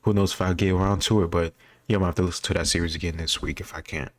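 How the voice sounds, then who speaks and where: A man's voice: sits low, fairly even intonation, rapid-fire. An American man chatting casually as he gives rambling, opinionated reaction commentary and often corrects himself. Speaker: host reacting to a history quiz video.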